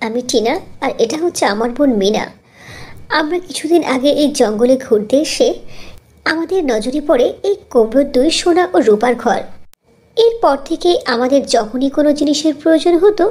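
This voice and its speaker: Woman voicing an earnest high-pitched young girl. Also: lively intonation, moderate pace, darkish tone. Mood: polite, curious. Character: caring young orphan sisters, eager and polite girls. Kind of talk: Bengali fairy tale cartoon dub.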